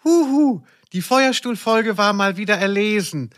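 A man's voice: high-pitched, lively intonation, unhurried, a bit bright. A man co-hosting a podcast with casual, teasing, mock-serious banter. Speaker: podcast co-host.

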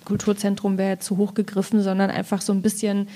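A woman's voice: mid-range voice, completely flat delivery, brisk, warm tone. A woman from Thuringia, a writer, reflecting tentatively on her work in a literary discussion and searching for her words. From Thuringia, Germany. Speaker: poet and writer.

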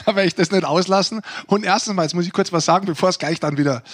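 Higher-pitched man chatting on a sports podcast. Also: rapid-fire. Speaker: podcast host. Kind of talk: lively casual sports podcast chat.

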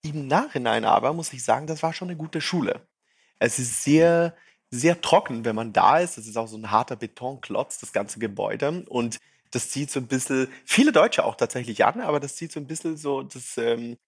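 A man in his thirties, born in Brazil and raised in Switzerland, speaking with a faint French-tinged accent. His voice is a little husky and sits at a middle pitch. He chats loosely, with plenty of fillers and light self-mockery. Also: expressive, normal speed. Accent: light French-tinged Swiss. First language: Portuguese and French. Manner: chatty, self-deprecating, many fillers. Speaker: startup founder, guest. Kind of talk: casual interview chat.

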